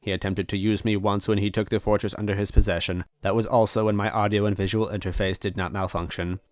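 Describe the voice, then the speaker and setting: A man's voice: lowish voice, flat delivery, fast talker, no echo, close to an everyday voice. A man voicing a lonely, apologetic old supercomputer in a story, speaking in formal, precise wording. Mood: formal, resigned.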